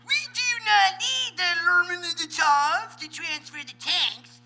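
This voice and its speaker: A man's voice: very high voice, measured pace, sharp tone, soft background music, heavily acted. A man voicing a dim, blustering, volatile thug boss in a manic cartoon style for a live comedy reading. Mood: irritated.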